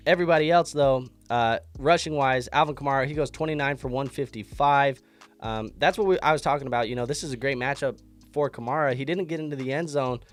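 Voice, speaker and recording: A man hosting a fantasy football show, congested from an illness, talking through a casual sports recap with a loose, chatty delivery and frequent restarts. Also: medium pitch.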